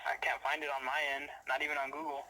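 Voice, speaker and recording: A man's voice: a little high, little pitch movement, racing, crowd noise. A man working at a store, taking a customer's phone call in a casual, polite, matter-of-fact way with brief answers. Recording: noisy phone call with clicks.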